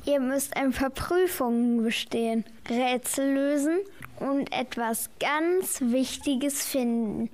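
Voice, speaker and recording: A female performer playing a patient guardian angel in a children's audio play, explaining things in a measured way. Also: high voice, a little mellow.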